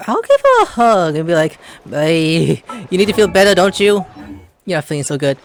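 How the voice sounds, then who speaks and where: A man's voice: high voice, sing-song, moderate pace. A man giving casual gameplay commentary, playful and rambling, often stumbling over names. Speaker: gaming video host.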